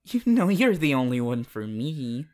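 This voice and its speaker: A man playing a shy, devoted, easily flustered teenage boy hero in an intimate, halting romantic roleplay. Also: medium pitch, big pitch swings, darkish tone. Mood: sheepish, flustered. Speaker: voice actor. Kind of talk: romantic roleplay audio, intimate fan performance.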